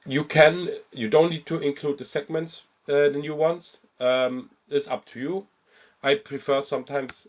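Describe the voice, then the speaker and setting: A man giving an informal step-by-step software tutorial as an instructor, halting at times and stressing key points by repeating them. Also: on the high side. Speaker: travel booking software instructor.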